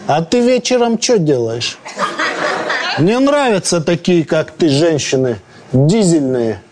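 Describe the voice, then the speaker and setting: A man's voice: on the high side, sing-song, slow, a crowd in the background. A man playing a cocky fake American tourist. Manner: fake gibberish English, flirty and cocky. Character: brash male Russian tourist posing as American.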